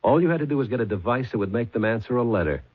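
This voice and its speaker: An actor playing a shrewd, terse police sergeant in a 1970s radio mystery, matter-of-fact and methodical. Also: male voice, mid-range voice, expressive, rapid-fire, slightly rough. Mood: cool triumph. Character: shrewd, hard-boiled male police sergeant. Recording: muffled lo-fi 1970s radio recording.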